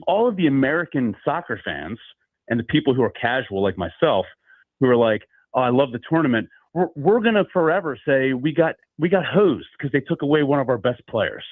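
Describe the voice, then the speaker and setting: Male phone-in caller, mid pitch, lo-fi phone sound, rambling casual delivery. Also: fairly quick, dry recording. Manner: conversational, many fillers, steady argument. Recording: tinny phone line.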